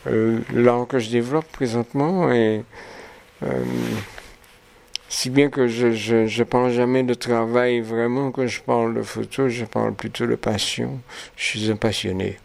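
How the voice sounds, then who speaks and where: A man's voice: mid-range voice, fairly even intonation, unhurried, vocal fry, a little mellow. A man in his seventies from Martinique, a photographer answering interview questions with many hesitations and restarts. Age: 70s. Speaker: photographer guest.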